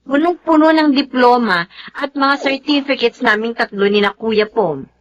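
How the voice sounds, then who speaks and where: An actress playing a devoted, stubborn young adult Filipino daughter in a radio drama, emphatic and earnest. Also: female voice, a little high, normal speed, highs cut off, lightly acted. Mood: earnest, coaxing. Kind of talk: Tagalog radio drama acting.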